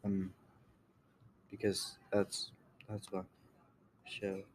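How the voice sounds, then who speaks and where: A man giving a casual screen-recorded software tutorial, halting as he thinks aloud while he works. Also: deep voice, monotone, a little hiss or hum. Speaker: tutorial creator.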